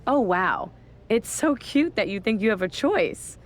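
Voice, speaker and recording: A woman's voice: mid-range voice, big pitch swings, a little slow. A woman voicing a smug, scheming female wrestling general manager in a video game cutscene, talking down with sarcasm. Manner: condescending, sarcastic.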